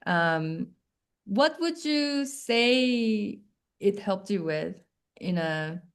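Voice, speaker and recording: A woman's voice: medium pitch, expressive, drawn-out words, smooth. A woman hosting an interview, laughing often and reflecting the guest's answers back. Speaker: interviewer host. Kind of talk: casual interview.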